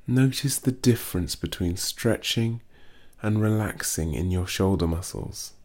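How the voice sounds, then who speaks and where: A man reading a guided muscle relaxation script in a slow, soothing, gently instructive way. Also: lowish voice.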